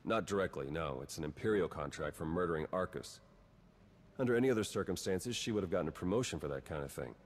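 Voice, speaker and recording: Low-voiced wry spy. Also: male voice, expressive, fast. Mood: dry, wry. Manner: matter-of-fact, dry. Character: wry, terse, roguish male spy agent. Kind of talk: cinematic video game acting.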